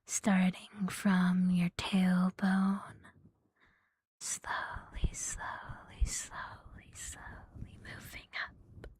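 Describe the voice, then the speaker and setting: A woman's voice: on the low side, monotone, extremely slow, darkish tone, husky. A woman whispering breathily in an intimate, soothing ASMR roleplay as a catgirl girlfriend, very close to the microphone. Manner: mostly whispering, close and soothing, lingering on repeated words. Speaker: ASMR performer.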